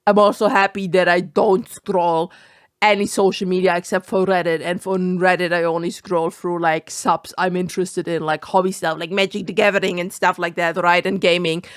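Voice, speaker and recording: A woman's voice: fairly low pitch, level tone, moderate pace, smooth, no room sound, clean studio sound. A young woman with a German accent reacting to a video in casual commentary, incredulous and swearing often.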